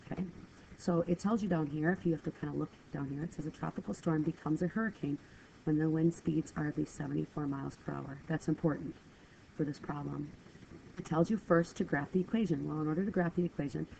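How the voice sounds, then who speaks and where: A woman's voice: low-pitched, gravelly, mellow. A woman teaching a math lesson over a screen recording, talking step by step in a patient, apologetic way, a little stuffy from a cold. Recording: dull lo-fi screen recording with faint hiss.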